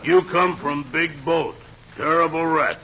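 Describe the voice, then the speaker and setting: An actor playing a deadpan island native who speaks halting broken English in 1940s radio comedy style, with a gravelly voice. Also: male voice, a little high. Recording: muffled, hissy old radio recording.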